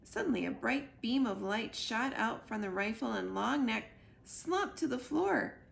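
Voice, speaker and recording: A woman's voice: on the high side, lively intonation, unhurried, creaky, full character voice. A woman reading a children's book aloud as a young goose earnestly telling his mother about his adventures. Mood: distressed.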